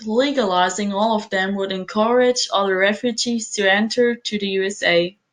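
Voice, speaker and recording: Brief: woman narrator, plain read-aloud explainer, mid-pitched voice. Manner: reads plainly from a script. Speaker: student presenter.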